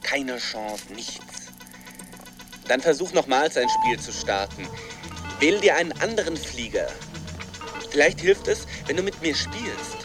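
A man's voice: mid-range voice, sing-song, measured pace, music in the background. An actor playing a desperate man trapped inside a computer game, pleading urgently in a radio drama.

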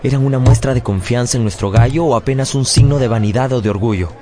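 An actor narrating a radio drama as a man solemnly recounting a boyhood memory in measured, literary phrasing. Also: medium pitch, close to an everyday voice. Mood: tense, dramatic. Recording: loud music bed behind studio voice.

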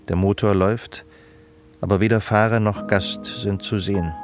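A man delivering scripted narration as the narrator of a radio drama. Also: fairly low pitch.

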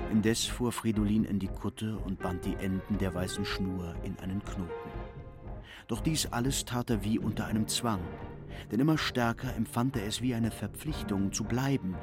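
A man's voice: on the low side, moderate pace, music in the background. A man narrating a literary radio play from a written text.

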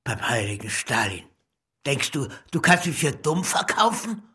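Man voicing a blustering puppet party minister, bright and husky, lecturing in a radio play. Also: mid-range voice, very animated, normal speed, full character voice. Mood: grandiose. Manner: blustering, lecturing, swings from mutter to proclamation. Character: pompous male party minister puppet, bullying, grandiose.

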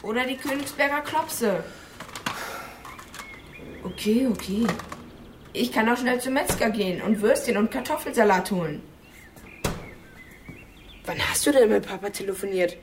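An actress playing a cheeky thirteen-year-old daughter in a radio crime drama, casual, blunt and nagging. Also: female voice, medium pitch, slight background noise, natural voice.

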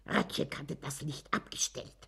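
A woman's voice: a little slow, lightly acted. An actress playing a nosy old village woman in a German radio drama, rambling with suspense and self-importance. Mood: mysterious, rambling. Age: older.

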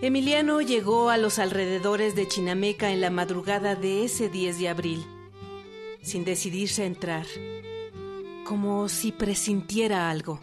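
A woman's voice: medium pitch, unhurried. A woman reading scripted narration for a historical radio drama. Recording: prominent string music bed.

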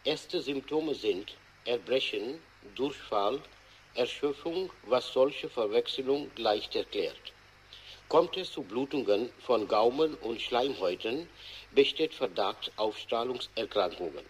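A man playing a sober expert who gives a measured, formal report in a German radio drama, over faint hiss. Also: medium pitch, animated, low-quality recording. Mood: sober, grave. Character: sober male expert reporting radiation dangers.

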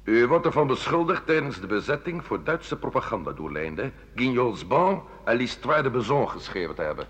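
An actor playing a stern, formal official who reads out accusations in a radio drama. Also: male voice, mid-range voice, sing-song, brisk, hardly any pauses, fairly bright tone. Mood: stern, accusing. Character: formal official reading out charges of treason. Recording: muffled old radio broadcast.

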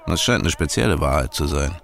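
Low, creaky, sneering man. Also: lively intonation, a little fast, music in the background, exaggerated voice. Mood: mocking. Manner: mocking, insinuating. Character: sardonic, jealous, menacing former lover. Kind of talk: radio drama acting.

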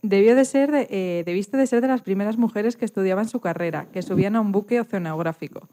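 Female interviewer. Very mellow, medium-pitched, informal delivery. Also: fast talker.

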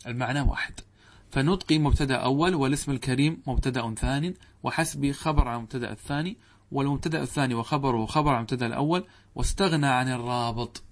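A man's voice: medium pitch, very fast. A man teaching Arabic grammar to students, posing questions to the class and answering them himself, reciting quoted text. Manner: addresses students directly, checks understanding.

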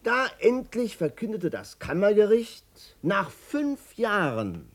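A man's voice: high-pitched, huge pitch swings, slow pace, heavily acted. An actor playing an embittered man recounting his ruin in a 1950s German radio drama, emphatic and rhetorical. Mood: dramatic, emphatic.

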